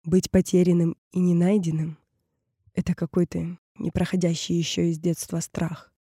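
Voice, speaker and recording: A woman reading a scripted personal story in a measured, reflective way, in short phrases. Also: lowish voice, level tone, mellow, breathy edge, no echo, clean studio sound. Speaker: narrator of an audio performance. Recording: music bed under the voice. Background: music.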